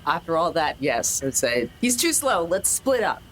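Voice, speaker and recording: A woman's voice: low-pitched, very animated, normal speed, poor audio. A woman playing a tabletop role-playing game, chatting casually about her moves. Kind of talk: casual chat. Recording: faint background noise.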